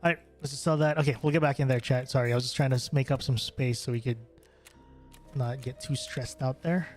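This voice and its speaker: A man's voice: mid-range voice, steady tone, brisk. A young man from the Philippines, streaming a game and chatting casually with viewers, rambling with many fillers and restarts. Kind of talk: casual gameplay stream chat. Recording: faint music behind voice.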